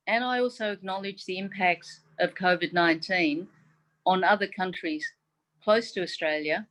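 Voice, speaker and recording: Woman from taiwan, mandarin first language, formal forum speech on a video call, lowish voice, lots of um's. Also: poor audio. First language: Mandarin Chinese. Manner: measured, formal delivery with frequent "um"s. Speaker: chief medical advisor.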